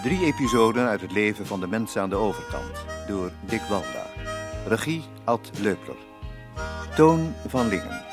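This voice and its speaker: A man's voice: lowish voice, sing-song, moderate pace, over music. Een man die als omroeper de titels van een hoorspel voorleest. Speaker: radio play announcer. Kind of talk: scripted title announcement.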